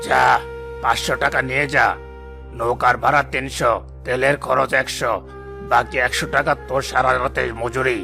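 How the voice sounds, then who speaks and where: A man voicing a greedy, bullying fish trader in a cartoon, creaky-voiced, with a mocking, domineering manner. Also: medium pitch, little pitch movement, normal speed, sharp tone, faint music behind. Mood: mocking, scornful. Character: greedy, sneering, bullying male fish trader and boat owner. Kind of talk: dramatised cartoon dialogue.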